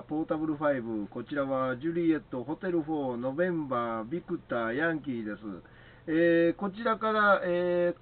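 Quick, slightly high man on tinny radio. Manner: polite, procedural. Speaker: amateur radio operator. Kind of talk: ham radio exchange with spelled call signs. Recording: thin, band-limited radio audio.

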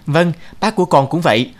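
A man's voice: a little high, normal speed, natural voice. A man playing a dutiful, caring young son in a cartoon, polite and attentive. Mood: reassuring. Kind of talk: cartoon voice acting.

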